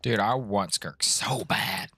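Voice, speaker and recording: A man's voice: lowish voice, takes it slowly, pushed and bright, husky. A young man chatting casually on a game stream in a slangy, playful way, muttering asides to himself. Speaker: live streamer.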